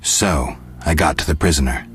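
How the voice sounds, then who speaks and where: A man playing a gruff, terse monster hunter in a video game, with dry, laconic delivery. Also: a bass voice, normal speed. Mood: matter-of-fact. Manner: deadpan, laconic. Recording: music behind.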